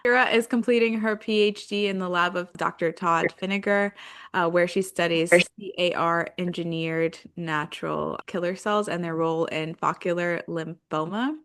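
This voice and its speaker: Woman podcast host, slightly low voice. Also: moderate pace, clean tone. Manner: reads a formal intro, then relaxed and giggly. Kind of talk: podcast interview.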